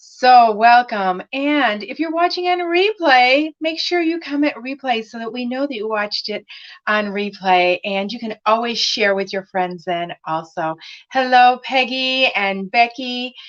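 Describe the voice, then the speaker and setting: A woman hosting a craft live stream, chatting with viewers by name and using many fillers, her voice slightly raspy as she recovers from laryngitis. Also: fairly high pitch, unhurried. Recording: dull lo-fi live stream audio.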